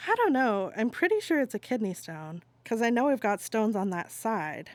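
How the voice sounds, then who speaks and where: A woman performing an exasperated adult venting to a cousin in a casual, halting phone-call monologue. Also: a little high, very animated, dry recording. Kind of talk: dramatic monologue, conversational stage reading.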